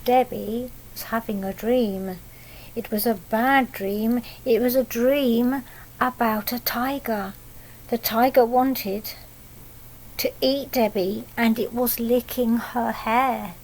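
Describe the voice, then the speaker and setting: Slow-paced British woman reading a children's story. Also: fairly high pitch, steady tone, darkish tone, poor audio. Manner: simple child-directed talk with tag questions. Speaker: primary school teacher reading a picture book aloud. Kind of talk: children's storytelling with explanatory asides.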